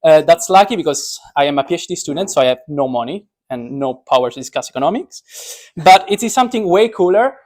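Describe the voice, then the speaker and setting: A man's voice: fairly high pitch, animated, fast talker, a little mellow. Joking young man giving an informal science talk. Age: young adult. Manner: joking, self-deprecating. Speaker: speaker, physics PhD student.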